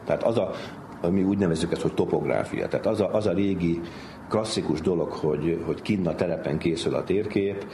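A man explaining as an expert in an interview, haltingly, repeating words. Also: low-pitched, warm tone. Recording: noticeable background noise and ambience.

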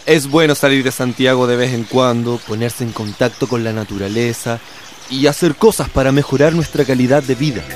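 A man's voice: medium pitch, brisk, faint music behind, lightly acted. A man playing a good-natured, middle-aged father with a Chilean accent who explains things to his family.

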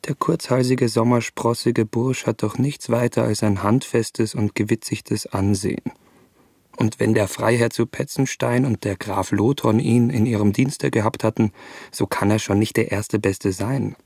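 An actor playing a hesitant, vain young gentleman traveler, speaking his reflective thoughts in a radio play. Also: lowish voice, steady tone, measured pace, vocal fry, slightly rough, no echo, clean studio sound, exaggerated voice. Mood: self-persuading.

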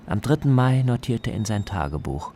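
A man narrating a scripted radio travel feature as the reporter, in a measured, descriptive way. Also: medium pitch, sing-song, mellow, a little hiss or hum.

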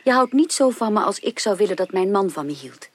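A woman's voice: mid-range voice, lively intonation, fairly quick, natural voice. An actress playing a proud, candid young upper-class woman in a radio drama, speaking formally and firmly. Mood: firm, earnest. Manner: formal, firm, reproachful. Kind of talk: 1980s Dutch radio drama acting.

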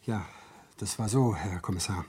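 Nervous, husky office manager. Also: male voice, on the low side, normal speed, warm tone. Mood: polite, explaining. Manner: hesitant, stammering, eager to explain. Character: polite, nervous male office manager under questioning. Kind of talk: 1970s German radio crime drama acting.

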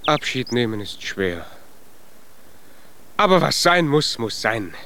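An actor playing a small, earnest, naive young giant in a German children's radio play. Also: male voice, medium pitch, big pitch swings, slow, a bit bright, heavily acted. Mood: wistful.

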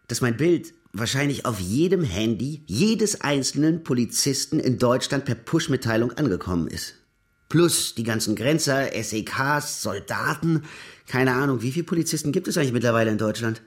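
A man's voice: mid-range voice, slightly rough. An actor playing a sarcastic middle-aged fugitive in a radio crime drama, telling his story in a colloquial, rambling way. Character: sarcastic, rambling fugitive man, prosecutor's resentful son. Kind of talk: first-person narration and dialogue in German radio crime drama.

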